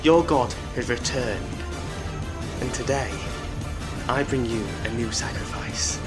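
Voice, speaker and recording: A man's voice: medium pitch, slow pace, over music, close to an everyday voice. A man playing a grandiose lord and master of ceremonies, proclaiming pompously in a fan audio drama. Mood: grand, gloating. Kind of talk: fan audio drama acting, public address.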